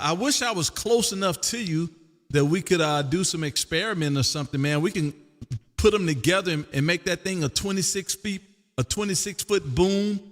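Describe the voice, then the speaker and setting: A man from the United States hosting casual ham radio chat, loud, exuberant and folksy, often repeating himself, recorded in a room with long reverb. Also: high-pitched. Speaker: amateur radio operator hosting a live stream.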